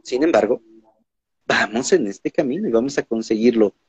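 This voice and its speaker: Husky Mexican man hosting a podcast. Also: mid-range voice, animated, normal speed, dry recording. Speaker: podcast host. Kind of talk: casual interview chat. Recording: dull lo-fi sound.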